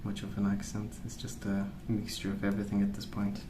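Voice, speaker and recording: A man speaking softly in a hushed, unhurried ASMR ramble, musing as he goes. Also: on the low side, completely flat delivery, reverberant, music in the background. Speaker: ASMR video host. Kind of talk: soft-spoken ASMR rambling while colouring.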